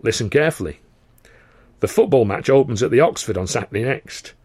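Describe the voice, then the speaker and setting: A man's voice: medium pitch, expressive, fairly quick, a little mellow, breathy edge, no room sound. A man voicing an imperious older theatre manager who is a born showman, theatrical and commanding.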